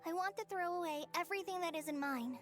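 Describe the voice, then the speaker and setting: A woman voicing a gentle, humble young girl in a video game, earnest and hesitant in her manner. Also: very high voice, brisk. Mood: earnest, modest. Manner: earnest, timid. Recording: music behind the voice.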